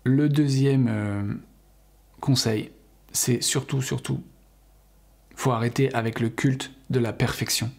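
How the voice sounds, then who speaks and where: A middle-aged French man talks straight to his viewers in an earnest, encouraging way, often stopping on fillers and restarting his sentences. His voice sits at an ordinary pitch, with a gravelly, husky grain to it. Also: fairly even intonation, slow, long pauses, darkish tone. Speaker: English teacher. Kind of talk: casual spoken lesson to camera.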